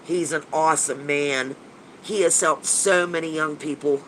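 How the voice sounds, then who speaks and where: A man's voice: a little high, fairly even intonation, a little slow, a bit bright, a little hiss or hum, low-quality recording. A man in his fifties with a stuffy, nasal voice, rambling and gushing in a casual live chat with viewers as a paranormal investigator, swearing now and then. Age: 50s.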